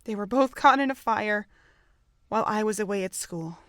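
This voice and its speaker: A woman's voice: mid-range voice, normal speed, dry recording, clean studio sound, lightly acted. A young woman acting a guarded, easily frustrated young woman in a fan audio drama. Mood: subdued.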